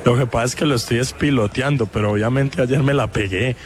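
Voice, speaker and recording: A man's voice: mid-range voice, a little fast. Colombian guy reporter chatting loose and live, street and traffic noise all over the back. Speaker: roving radio show reporter. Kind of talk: casual live chat and street interviews.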